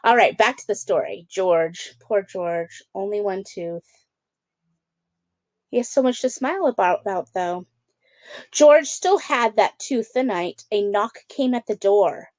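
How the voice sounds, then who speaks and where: Woman, teacher read-aloud, unhurried pace, mid-range pitch, dry sound, explanatory and conversational. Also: lively intonation, darkish tone, no room sound. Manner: conversational, explanatory, with check-in questions. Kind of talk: picture-book read-aloud with casual asides to children.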